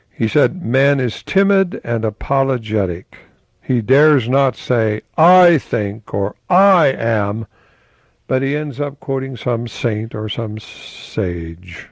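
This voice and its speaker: An older man giving a reflective radio talk in a conversational, musing way, posing rhetorical questions and reading quotations slowly with emphasis. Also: mid-range voice, vocal fry, a little mellow, no room sound. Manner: slow, emphatic delivery of quotations. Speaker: radio host, professor.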